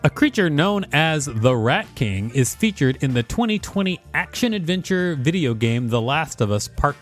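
Mid-pitched man reading aloud over music. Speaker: podcast co-host. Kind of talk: reading an encyclopedia article aloud.

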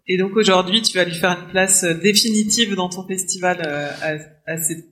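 High, bright-voiced male interviewer. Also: moderate pace. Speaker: interviewer, podcast host. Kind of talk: conversational interviewing.

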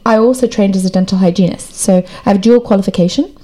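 A woman guest, a dental therapist, explaining her work with eagerness in quick bursts in a clinic room. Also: mid-range voice, dark tone. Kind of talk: conversational explanation.

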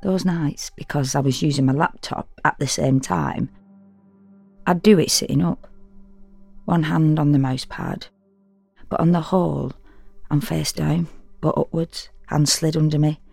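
An actress playing a frank, wry, solitary woman in an audio drama monologue, confiding in an intimate, deadpan way in short, halting phrases. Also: low voice, gravelly, breathy edge, soft background music, exaggerated voice. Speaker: actor performing a solo audio drama. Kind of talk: first-person dramatic monologue, confessional and conversational.

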